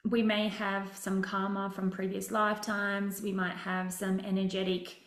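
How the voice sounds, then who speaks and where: Slow-paced woman leading guided relaxation, roomy sound. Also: mid-range voice, monotone. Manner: deliberate, instructive phrasing with frequent pauses. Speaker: meditation and wellness session leader. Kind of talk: guided relaxation talk, gentle coaching. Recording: roomy echo with music behind.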